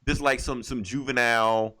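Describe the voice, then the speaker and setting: A man's voice: medium pitch, steady tone, normal speed, sharp tone, no echo. A man hosting a comic book podcast, chatting casually through a review in blunt, slangy, profane language.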